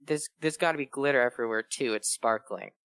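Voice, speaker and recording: A man's voice: medium pitch, fairly quick, creaky, fairly bright tone, smooth, no echo. A man chatting casually and riffing with dry, absurd jokes. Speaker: co-host of a comedy reading show. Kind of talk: casual joking banter.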